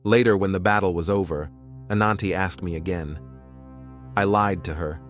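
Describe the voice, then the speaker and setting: Low, weary soldier narrator, voiced by a man. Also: moderate pace, faint music behind, lightly acted. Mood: somber, bitter. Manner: somber, terse storytelling. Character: weary, bitter male soldier narrating the aftermath. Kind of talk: scripted first-person narration in audio comic.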